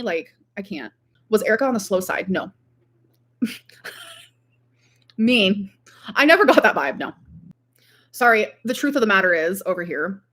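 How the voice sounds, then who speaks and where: A woman's voice: medium pitch, a bit bright. A woman chatting casually on a livestream in a rambling way, peppering her talk with "like". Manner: rambling, frequent "like". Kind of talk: casual livestream chat, reading out viewer comments.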